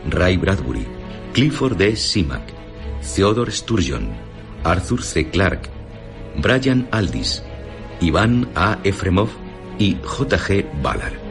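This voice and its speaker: A man reading a scripted radio documentary in a measured, formal announcer's delivery. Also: sits low, sing-song. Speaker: radio narrator. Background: music.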